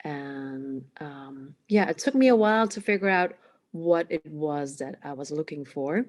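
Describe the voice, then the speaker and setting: A woman from the Netherlands who grew up speaking Cantonese, answering interview questions reflectively with many hesitant "um" pauses. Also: low-pitched, animated. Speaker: guest, emotional awareness coach.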